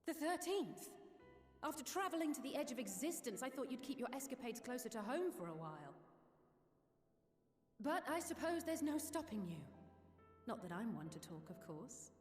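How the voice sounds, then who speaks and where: A woman's voice: sits high, very animated, normal speed. An actress voicing a frank, wry and determined young woman adventurer in a video game. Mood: teasing, frank. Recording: reverberant hall with music behind.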